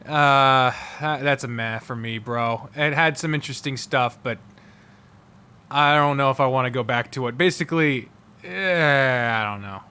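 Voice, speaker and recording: A man's voice: medium pitch, moderate pace, pushed and bright, clear, resonant tone. A man giving casual, rambling ranking commentary, thinking aloud and swearing freely in an informal way. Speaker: host.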